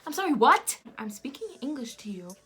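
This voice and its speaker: A young woman from the United States, telling a personal story in an animated vlog style with lots of fillers. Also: high-pitched, a little fast, soft background music. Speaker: vlogger.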